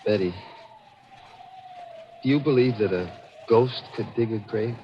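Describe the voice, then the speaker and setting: Man as low-voiced, brooding young husband. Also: measured pace, natural voice. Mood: dread. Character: troubled, fearful young husband. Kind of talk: 1940s radio acting. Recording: muffled, slightly noisy old radio recording.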